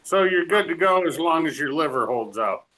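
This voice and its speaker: A man's voice: on the high side, lively intonation, normal speed, pushed and bright, rough recording. A man in a casual roundtable chat who keeps teasing and joking with the others. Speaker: roundtable panelist. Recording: faint background noise.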